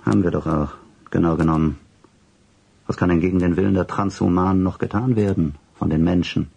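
An actor playing a stuffy, easily affronted senior official in a radio drama, speaking formally and pompously. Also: male voice, sits low, steady tone, fairly quick, vocal fry, warm tone, breathy edge, full character voice. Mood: bitter, eager. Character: stuffy, indignant male senior government official.

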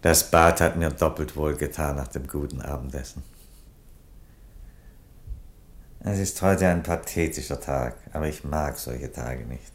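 An actor playing an aging Roman emperor in a radio play, an unhurried, wry father with a dry, sententious delivery. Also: extremely low pitch. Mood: relaxed, weary.

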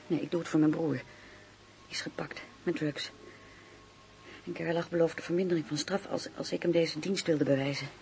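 An actress playing an anguished, remorseful informant in a Dutch radio drama, speaking hesitantly and emotionally with a creaky voice. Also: female voice, low voice, fairly even intonation, moderate pace, long pauses, a little mellow. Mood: ashamed, anguished. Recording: noisy radio play recording.

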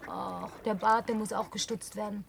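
An actress playing a bossy, house-proud young woman in a radio play, brisk and commanding. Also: mid-range voice, steady tone, vocal fry, a little hiss or hum.

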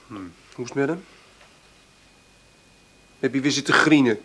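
A man's voice: mid-range voice, fairly even intonation, moderate pace, fairly bright tone, close to an everyday voice. A man with a Netherlands Dutch accent playing a spiteful, bullying ex-husband in a radio drama, sneering and accusing. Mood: sneering, hostile. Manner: contemptuous, accusing.

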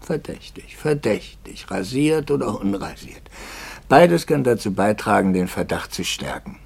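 An actor playing a troubled, anxious priest in a 1950s German radio drama, brooding and articulate, moving between hushed and impassioned speech. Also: male voice, on the low side, expressive, unhurried, gravelly, breathy edge, heavily acted. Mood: bitter, impassioned. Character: troubled, anxious priest, intense and probing, quietly bitter.

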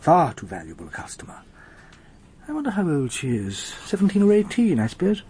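Very husky, worldly shopkeeper. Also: male voice, high-pitched, huge pitch swings, fairly quick, warm tone, full character voice. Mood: confiding, knowing. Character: knowing, discreet, worldly English shopkeeper. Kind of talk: radio drama acting.

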